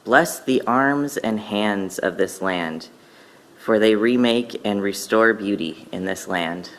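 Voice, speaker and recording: Man, lowish pitch, slow pace, solemn poetry reading, measured, incantatory phrasing. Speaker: reader at a worship service. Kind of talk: poetry recitation.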